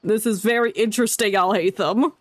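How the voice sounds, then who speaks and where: A woman's voice: mid-range voice, a little fast. A woman giving casual, playful live commentary as she streams a game, reacting as she goes.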